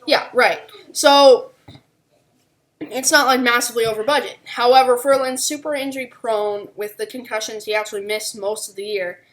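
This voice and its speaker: Woman on a sports podcast, kinda high voice, unscripted analysis talk. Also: normal speed, a bit bright, rough recording. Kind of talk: unscripted hockey analysis discussion.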